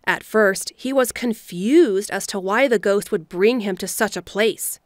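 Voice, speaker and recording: A woman's voice: mid-range voice, measured pace, no room sound, studio quality. A woman reading scripted story narration as the narrator of an audio drama. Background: background music.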